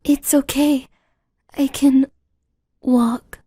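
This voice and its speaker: A woman playing a gentle, determined human child with a soft, questioning manner in a fan comic dub. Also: high-pitched, flat delivery, very slow, no room sound, clean studio sound. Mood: weak, gentle.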